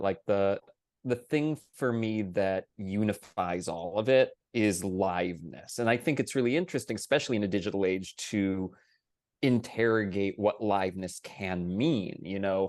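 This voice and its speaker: A man speaking earnestly as a panelist in a thoughtful discussion, sometimes halting as he builds his point. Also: fairly low pitch, a little mellow, no echo, studio quality.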